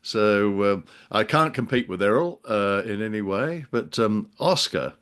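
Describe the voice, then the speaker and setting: Lowish voiced guy on a podcast, dry humour, hesitant, pokes fun at himself. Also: lively intonation, a little slow, fairly bright tone, clean tone. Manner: droll, hesitant, self-deprecating. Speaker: podcast co-host and writer. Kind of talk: casual humorous chat.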